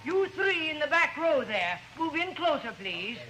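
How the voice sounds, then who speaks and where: A man's voice: very high voice, big pitch swings, a little slow, bright, forceful tone, faint music behind, exaggerated voice. An actor playing a fussy, flustered little male photographer in an old radio drama, fretful and coaxing. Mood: exasperated directing. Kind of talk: old-time radio detective drama acting. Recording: noisy vintage radio broadcast.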